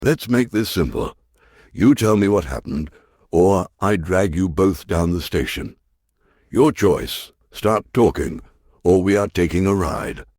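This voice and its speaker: Guy doing a hardboiled cop, deep, swingy intonation, curt and sardonic, radio drama. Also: animated, a little slow, a little mellow, dry recording. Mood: commanding. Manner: curt, dry, pressing. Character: hard-boiled, sardonic male London police detective inspector. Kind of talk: radio crime drama acting.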